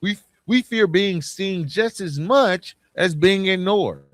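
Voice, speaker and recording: A man's voice: high voice, slow, fairly bright tone, no echo. An American man hosting a podcast, speaking in a casual motivational monologue, emphatic and colloquial, putting questions straight to the listener. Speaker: podcast host.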